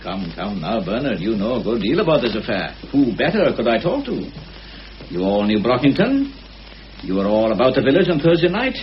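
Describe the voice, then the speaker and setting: A man playing a genial, shrewd local police inspector in a radio drama, deliberate and chatty. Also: mid-range voice, big pitch swings, natural voice. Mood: genial, probing. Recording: muffled, noisy old radio drama recording.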